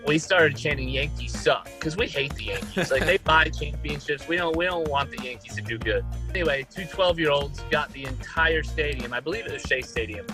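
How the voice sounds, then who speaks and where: A man's voice: fairly high pitch, fairly quick, music in the background. A man being interviewed, telling an anecdote in a casual way.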